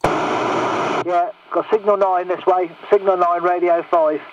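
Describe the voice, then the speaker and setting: A man chats casually over CB radio. His high voice comes through distant and dull, with plenty of radio noise around it. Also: fairly even intonation, slow. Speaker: CB radio operator. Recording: distant, muffled, noisy CB radio transmission.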